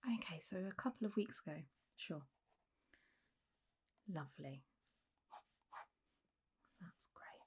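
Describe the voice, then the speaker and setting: Gentle, half-whispering woman as a clinic doctor. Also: lowish voice, lively intonation, breathy edge, full character voice. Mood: calm, reassuring. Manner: gentle, reassuring, unhurried, drifting into whispers. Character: calm, reassuring female clinic doctor for zombie patients. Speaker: ASMR performer. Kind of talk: soft-spoken ASMR medical roleplay.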